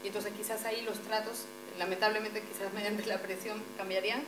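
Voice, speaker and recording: A woman's voice: medium pitch, level tone, brisk. A woman from Peru asking a debate panel a challenging question, thinking aloud as she goes. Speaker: audience member asking a question. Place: meeting room. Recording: echoey room with mains hum.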